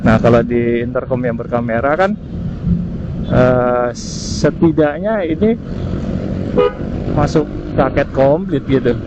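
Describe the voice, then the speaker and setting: A man chatting casually through an unscripted review, often pausing to search for words. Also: medium pitch. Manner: hesitant, searching for words, frequent tag words. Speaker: vlogger reviewing gear. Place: outdoors on the road at night. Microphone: helmet intercom microphone. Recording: muffled intercom mic with road noise. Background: vehicle and street noise.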